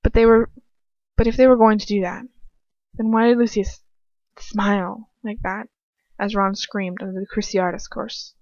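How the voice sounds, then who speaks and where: A woman's voice: mid-range voice, steady tone, normal speed, darkish tone, dry recording. Woman reading casually on a dull lo-fi recording. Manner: stumbling over words, self-deprecating. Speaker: amateur fan fiction reader. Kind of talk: casual read-aloud with chatty asides.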